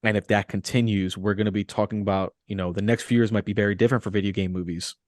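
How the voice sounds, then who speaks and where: Lower-voiced man in a dry, close recording. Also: steady tone, fast, darkish tone, dry recording. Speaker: podcast co-host. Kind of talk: casual movie discussion.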